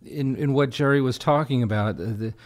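A man's voice: mid-range voice, a little fast. A man talking conversationally as an interview guest, in an earnest, reflective manner. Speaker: guest singer-songwriter and radio show founder.

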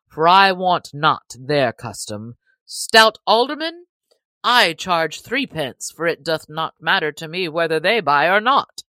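A woman's voice: lowish voice, sharp tone, no room sound, full character voice. A woman voicing a jolly, bold young male outlaw posing as a butcher, speaking merrily in archaic storybook English. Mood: lusty, hawking. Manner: merry, lusty street-hawker calls.

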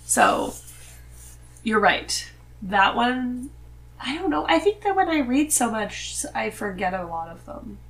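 A woman speaking casually as a guest in a relaxed podcast conversation. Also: fairly high pitch, slow, poor audio. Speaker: podcast guest, host's wife. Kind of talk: casual chat.

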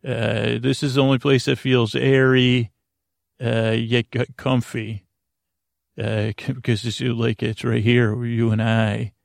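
A man hosting a sleep podcast in a creaky voice, rambling gently and soothingly, halting and repeating himself as he meanders. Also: mid-range voice, flat delivery, no room sound, studio quality. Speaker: podcast host.

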